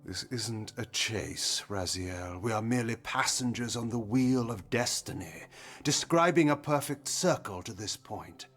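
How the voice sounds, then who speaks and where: A man playing an imperious, cynical vampire lord in a video game, delivering measured, archaic, theatrical speeches. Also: lowish voice, lively intonation, a bit bright, slightly rough, heavily acted. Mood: sardonic, cryptic. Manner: grandiloquent, measured, archaic rhetoric. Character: imperious male vampire lord, cynical, cunning, grandly fatalistic. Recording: music behind the voice.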